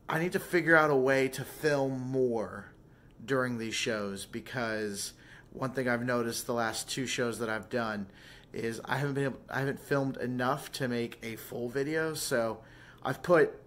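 A hoarse, tired man in his thirties talking casually to a handheld camera in a small home studio, rambling with fillers. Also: mid-range voice. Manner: rambling, easygoing, soft-spoken with fillers. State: hoarse and tired after a show. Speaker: vlogger and singer. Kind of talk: casual vlog talk to camera. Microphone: phone camera at arm's length.

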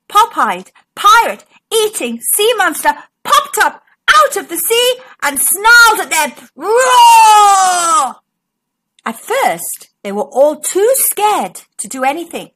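High, bright, animated woman storyteller. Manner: expressive, dramatic emphasis. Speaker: children's storybook narrator and channel host. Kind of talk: scripted read-aloud for young children.

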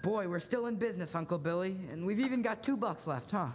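A young man acting an earnest, halting, pleading young loan-office manager in a 1940s-style radio play. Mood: hurried relief. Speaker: student actor in a school radio play. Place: large school auditorium stage. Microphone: stand microphone. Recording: echoey auditorium with steady rumbling noise.

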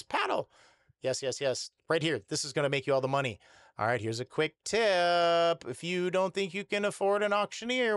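A man's voice: a little high, fairly bright tone, dry recording. A middle-aged American man hosting a podcast, giving emphatic, salesy advice to camera with rhetorical questions and lots of energy. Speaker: podcast host and fundraising auctioneer. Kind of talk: animated talking-head advice to camera.

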